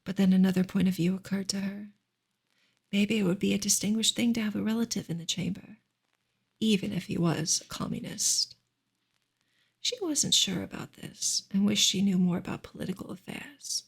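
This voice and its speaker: A woman gives a soft ASMR reading of a book, breathy and often close to a whisper, in a gentle, measured way. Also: mid-range voice. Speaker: streamer reading a novel aloud.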